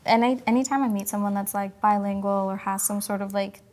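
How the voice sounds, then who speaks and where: A woman's voice: mid-range voice, steady tone, fairly quick, a little mellow. An American woman from Kentucky, whose first language was Spanish, hosting a podcast in a casual interview style. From Kentucky, United States. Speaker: podcast host.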